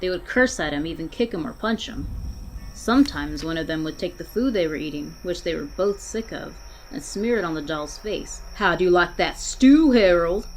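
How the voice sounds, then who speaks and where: A woman narrating a scary story aloud in a theatrical way, with deliberate dramatic pauses. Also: sits low, expressive. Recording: clearly noisy background.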